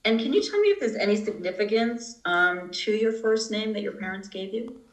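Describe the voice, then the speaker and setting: A man interviewing, asking procedural questions in a calm, brief manner. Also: sits high, fairly quick, roomy sound, low-quality recording.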